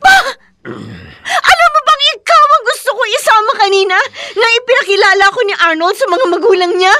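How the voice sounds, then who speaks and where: An actress playing a weary, anguished young adult daughter of a drunkard in a Filipino radio drama, with emotional, dramatic delivery. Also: female voice, very high voice, animated, a little fast, pushed and bright, no room sound, full character voice. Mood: anguished outburst.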